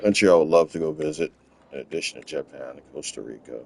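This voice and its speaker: A man from Los Angeles, casually talking through his game in a rambling, relaxed way, often repeating words. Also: rumbling low, fast talker, vocal fry, slight background noise. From Los Angeles, USA. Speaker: gaming channel host. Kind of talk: casual gameplay walkthrough commentary.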